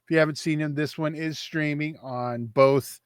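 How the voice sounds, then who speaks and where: A man with a somewhat high voice, chatting about films. He teases his co-host and laughs often. His voice sounds close and dry. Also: steady tone, normal speed, smooth, no room sound. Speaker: podcast co-host. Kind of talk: casual film review chat.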